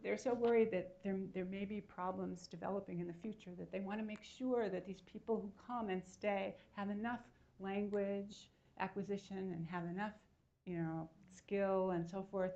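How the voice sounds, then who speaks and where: A woman's voice: mid-range voice, fairly even intonation, normal speed, gravelly, dark tone. A woman researcher answering audience questions at a seminar, explaining at length and checking in with her listeners. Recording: dull lo-fi sound.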